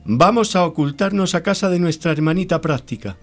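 A young storybook pig, acted by a man, lazy and easily frightened. He speaks high and fretfully over faint music in a children's radio play. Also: animated, fairly quick. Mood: nervous. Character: lazy, fearful young male pig, carefree cartoonish brother.

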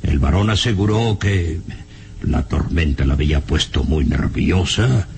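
Deep-voiced, slow, haunted professor. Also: male voice, expressive, full character voice. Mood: somber, dramatic. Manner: dramatic, halting, ominous. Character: grave, shaken male professor recounting dark castle secrets. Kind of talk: old radio serial acting. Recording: noisy old radio serial recording, rumble.

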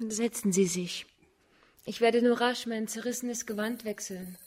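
Frank, gentle young woman. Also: a little high, steady tone, a little slow. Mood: composed, frank. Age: young adult. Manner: candid, composed, earnest. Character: frank, gentle young blind woman who keeps singing crickets. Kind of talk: German radio drama acting.

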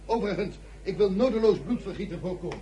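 A brave army general in a radio play. His voice is soft-edged and on the high side, with wide swings of pitch and a courteous manner. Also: lively intonation, fast talker, slight background noise, low-quality recording. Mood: calm, resolute. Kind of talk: radio drama acting.

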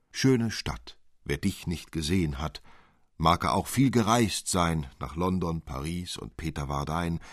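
A man's voice: low voice, dry recording, clean studio sound, exaggerated voice. A man voicing a sentimental fairy tale clockmaker who speaks in pompously poetic exclamations.

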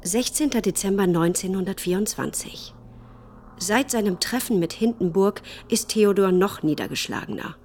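A concerned woman played by an actress narrates a diary in a radio drama. Her voice sits at a middle pitch, sombre, with faint noise around it. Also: moderate pace, a little mellow, close to an everyday voice.

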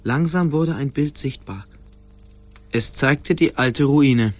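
Husky male radio-play narrator. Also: medium pitch, slow pace.

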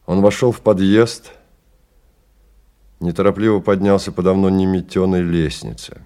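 A man narrating a radio drama in measured, deliberate phrases with pauses. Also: low voice, fairly even intonation, warm tone. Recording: radio play with music behind.

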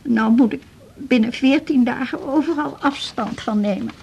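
A woman's voice: sits high, vocal fry, darkish tone, breathy edge, heavily acted. An actress playing a frail, talkative old Dutch widow, a patient clinging to her house, in a radio drama. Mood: wistful, anxious. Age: older. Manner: chatty, plaintive, with halting repetitions.

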